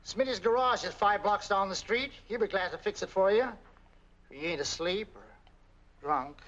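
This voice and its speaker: High-voiced, crotchety rural American innkeeper. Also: male voice, moderate pace, a bit bright. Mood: curt refusal. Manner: grumbling, curt, folksy. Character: crotchety, stingy small-town male innkeeper, suspicious of strangers. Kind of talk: 1940s film acting. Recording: muffled, noisy old film soundtrack.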